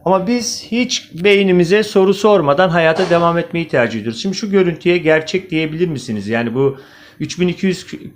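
A man presenting informal video commentary, speaking directly to viewers in an opinionated, questioning way. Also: fairly high pitch. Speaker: video host, amateur presenter.

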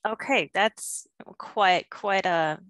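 A middle-aged woman hosting an interview over a video call, asking gentle, measured questions. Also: mid-range voice, no echo. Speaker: podcast host. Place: home room with window. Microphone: video call, webcam mic.

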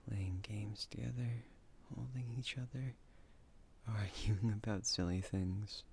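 A man playing a tender, bashful, nerdy young husband in an intimate ASMR roleplay, speaking softly and breathily, often half-whispering. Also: fairly low pitch, steady tone, slow, creaky, slight background noise. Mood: tender, reflective. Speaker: voice actor in an audio roleplay.

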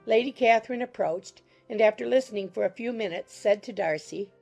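A woman narrating an audiobook in a measured, formal reading style. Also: fairly high pitch, creaky, darkish tone, faint music behind.

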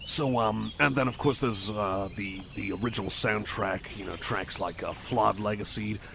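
A shy, self-conscious cyborg friend played by a man in an ASMR roleplay. The voice is fairly low with a lot of fry, and it hesitates, stammers and rambles in an apologetic, geeky way. Clear outdoor noise with birdsong sits behind it. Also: normal speed. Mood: enthusiastic rambling. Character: shy, rambling, apologetic male cyborg buddy, eager game nerd. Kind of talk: ASMR roleplay, casual one-sided chat. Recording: noisy outdoor ambience with birds. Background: birdsong, outdoor nature ambience.

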